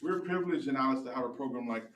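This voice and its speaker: A man's voice: medium pitch, very fast, fairly bright tone, recorded from a distance. Male, thirties, from Texas, prepared public remarks, roomy and off-mic, faint noise. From Texas, United States. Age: 30s. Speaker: youth league board member. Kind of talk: reading a prepared speech aloud. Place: county courtroom, standing at a podium.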